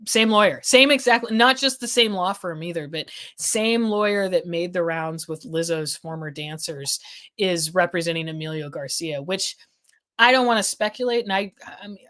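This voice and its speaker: Lower-voiced woman chatting on a livestream, voice going. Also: normal speed, fairly bright tone. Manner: rambling, many restarts and fillers, thinking aloud. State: losing her voice. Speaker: legal affairs journalist hosting a livestream. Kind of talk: casual livestream chat reading viewer comments.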